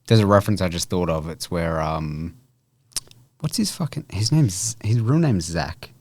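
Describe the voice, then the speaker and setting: Podcast host, very deep voice, loose and sweary, dry close recording. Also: male voice, lively intonation, normal speed, darkish tone, no echo. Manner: rambling, filler-heavy, swears casually. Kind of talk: casual podcast interview.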